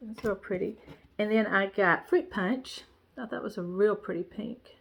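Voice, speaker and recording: A woman's voice: medium pitch, moderate pace, gravelly. A woman with a stuffy, nasal voice, chatting casually about her cross-stitch supplies in a rambling way, with lots of "um"s and restarts. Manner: rambling, warm, many fillers and restarts. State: stuffy, congested nose. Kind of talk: casual show-and-tell chat.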